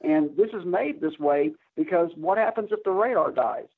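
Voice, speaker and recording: Male guest, slightly high husky voice, halting technical explainer, tinny phone-like sound. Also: expressive. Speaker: interview guest, air traffic controller. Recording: narrow, tinny phone-like line.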